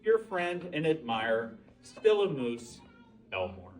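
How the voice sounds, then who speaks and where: A man's voice: medium pitch, big pitch swings, slow pace, far from the mic, soft background music, low-quality recording. A man voicing an earnest, eager male storybook moose who talks in a chatty, awkwardly hopeful way. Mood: apologetic, resigned.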